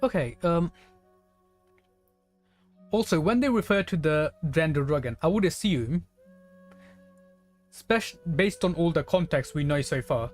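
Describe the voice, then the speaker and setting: A game streamer talks casually in a medium-pitched voice while playing. The delivery is musing and speculative, working through ideas aloud, with game music in the background. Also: animated, measured pace, pauses often, darkish tone. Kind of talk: casual live gaming commentary.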